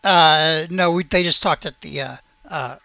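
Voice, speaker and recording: A man narrating a tabletop roleplaying game as game master, halting as he searches for words. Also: a little high, vocal fry, bright, forceful tone, dry recording, muffled recording.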